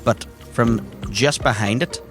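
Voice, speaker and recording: A man's voice: medium pitch, a little slow, music in the background. A man narrating a tabletop adventure as game master, in vivid, theatrical and descriptive language.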